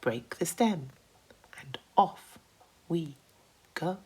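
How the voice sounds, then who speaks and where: Kindly female ladybird for a children's tale, voiced by a woman reader: patient, reassuring, measured pace. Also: on the low side, lively intonation, a little mellow, husky, exaggerated voice. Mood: explaining. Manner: patient, explanatory.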